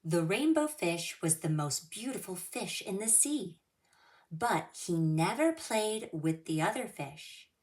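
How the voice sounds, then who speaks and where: A woman hosting a children's story time, reading aloud with deliberate, expressive phrasing, a little away from the microphone. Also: on the low side, roomy sound, recorded from a distance.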